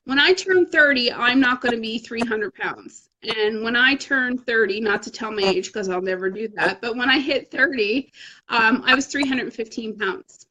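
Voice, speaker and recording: A woman's voice: a little high, moderate pace, pushed and bright, muffled recording. A woman in her thirties, a fitness group coach, talking casually and frankly about her health journey with a self-deprecating streak. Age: 30s. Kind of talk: casual motivational chat.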